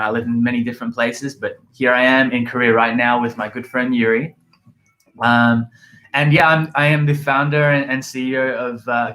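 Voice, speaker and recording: Brief: easygoing guy, mid-pitched, talks loosely with lots of "like". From Australia. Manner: relaxed, chatty, uses "like" a lot. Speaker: guest, entrepreneur. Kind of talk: casual livestream chat. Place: café in Seoul.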